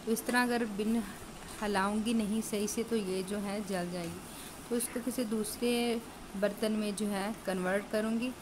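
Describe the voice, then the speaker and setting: A woman's voice: mid-range voice, fairly even intonation, moderate pace. Chatty home cook woman, noisy kitchen. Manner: chatty, explains steps while cooking. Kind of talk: cooking tutorial narration.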